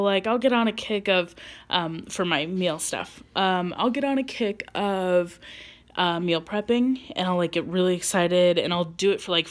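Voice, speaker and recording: A woman's voice: fairly low pitch, fairly bright tone. A woman in her late twenties talking casually to her camera in a personal vlog, rambling with many ums and likes and laughing at herself. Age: 20s. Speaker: vlogger.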